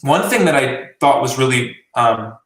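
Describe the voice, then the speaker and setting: A man's voice: mid-range voice, expressive, unhurried, far from the mic. A man in his late twenties, a musician and journalist being interviewed, talking casually and rambling, with many fillers. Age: 20s. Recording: roomy and from the mic.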